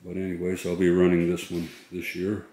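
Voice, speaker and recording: A man's voice: sits low, completely flat delivery, brisk, dark tone, reverberant, rough recording. A middle-aged man chatting casually to viewers as a vlog host, in an informal, hesitant way. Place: inside a moving truck and a garage.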